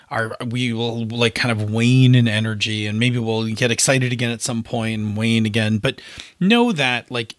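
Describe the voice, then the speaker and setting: A man with a medium-pitched voice talks as a podcast co-host. He gives advice in a casual, conversational way, tagging points with little check-in questions, in a very dry recording. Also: a bit bright, no room sound. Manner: informal, often checks in with "right?".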